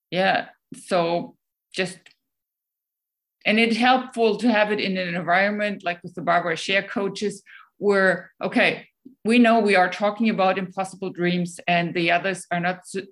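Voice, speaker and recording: A woman's voice: lowish voice, fairly even intonation, measured pace. Hesitant woman with a German accent. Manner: hesitant, many ums and restarts. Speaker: guest speaker. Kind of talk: casual talk answering questions.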